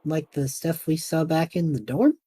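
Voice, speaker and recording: A man plays a time traveler in an audio drama. His voice is soft-edged and husky, with a dark, relaxed tone. He talks warily to his partner. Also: a little high, normal speed. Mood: wary, resolved. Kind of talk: scripted audio drama dialogue.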